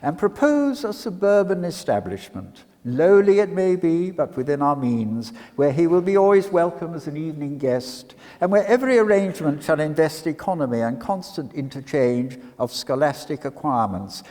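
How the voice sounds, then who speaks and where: A man's voice: fairly high pitch, very animated, moderate pace, few pauses, darkish tone, voice pushed up. A man giving a dramatic reading as an earnest young Victorian suitor, flowery, declamatory and respectfully courteous. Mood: earnest, genteel. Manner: florid, declamatory, formally courteous. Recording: church hall with long reverb.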